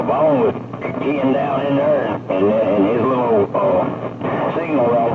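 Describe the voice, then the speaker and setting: A man making callouts and chatting in repetitive CB radio lingo over a radio transmission. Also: on the low side. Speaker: CB radio operator. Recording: tinny CB radio with very heavy static.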